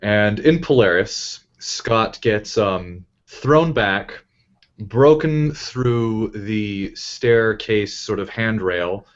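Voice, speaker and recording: Slow-talking man on dull lo-fi audio. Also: on the low side, animated. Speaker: voice actor in an audio drama. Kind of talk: casual Q&A chat.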